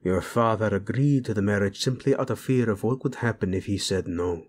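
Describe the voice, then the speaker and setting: A male voice actor playing a brooding young warlord prince who speaks softly and often drops to a whisper to his bride. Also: on the low side, a little fast, a little hiss or hum, close to an everyday voice. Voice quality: husky, soft-spoken, often drops to a whisper. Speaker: audio roleplay voice actor. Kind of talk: audio roleplay acting.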